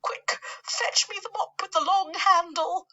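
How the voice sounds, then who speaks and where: A woman's voice: measured pace, bright, forceful tone, dull audio, full character voice. A woman playing a disgusted, bossy aunt in a children's storybook, shrieking and giving orders. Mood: horrified disgust. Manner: shrill, commanding.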